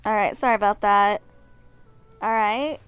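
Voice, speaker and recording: A woman's voice: medium pitch, fairly even intonation, measured pace, fairly bright tone, clear, resonant tone. A woman in her twenties hosting a gaming video, chatting informally and reading aloud with some stumbles. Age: 20s. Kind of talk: casual live commentary, reading game text aloud.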